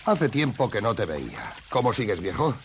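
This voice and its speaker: A man playing a menacing, gruff bear hunter in a Spanish cartoon dub, with a bullying way of talking. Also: fairly low pitch, wildly swooping pitch, normal speed, background noise. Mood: sly, probing. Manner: bullying, forceful. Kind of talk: animated cartoon dub acting.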